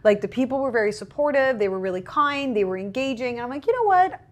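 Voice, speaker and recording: A woman's voice: fairly high pitch, lively intonation, fast talker. Chatty young woman. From Portugal. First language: Portuguese. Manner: chatty, acts out her own past remarks in a raised voice. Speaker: content creator guest. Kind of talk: casual interview chat.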